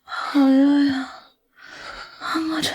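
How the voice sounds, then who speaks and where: A woman's voice: fairly high pitch, animated, fast, gravelly, breathy edge, heavily acted. An actress playing a frightened, vulnerable young woman in a screen drama, pleading and exclaiming. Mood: dazed, distressed.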